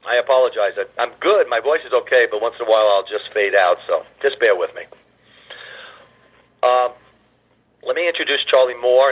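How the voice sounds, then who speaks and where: Hoarse man, call host, rambling with uhs, tinny phone line. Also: mid-range voice. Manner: rambling, reassuring, with filler uhs. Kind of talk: conference call hosting.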